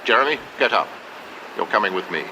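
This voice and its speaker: A man's voice: lowish voice, expressive, quick pace, background noise. A man playing a stern, cold father and boss in a radio drama, formal and commanding.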